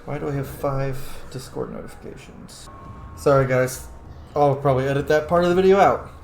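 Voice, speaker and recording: A man's voice: medium pitch, fairly quick, creaky. A man giving casual, sweary gameplay commentary, often muttering to himself as he plays. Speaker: gamer hosting a playthrough. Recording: echoey room, faint noise.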